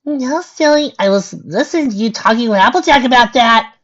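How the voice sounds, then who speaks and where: A woman's voice: fairly high pitch, a little fast, voice pushed up. A woman voicing a hyperactive, gushing young female party pony in an amateur story reading. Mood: excited. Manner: gushing, excitable. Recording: dull lo-fi recording.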